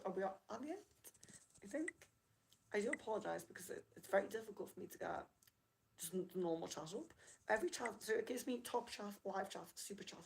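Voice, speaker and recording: A woman chatting casually on a live reading stream, apologetic and friendly as she reads out viewer comments, slightly hoarse from losing her voice. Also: on the high side, darkish tone, a little hiss or hum, rough recording. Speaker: livestream rune reader. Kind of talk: casual livestream chat.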